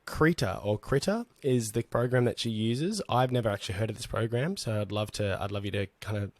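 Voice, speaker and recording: A man hosting a casual online chat with artists, relaxed and rambling, with many fillers and restarts. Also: on the low side, brisk, dry recording. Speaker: host.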